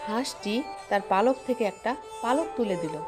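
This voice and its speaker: Narrator: woman, slightly high, plain storybook reading, music under. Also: normal speed. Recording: music playing behind.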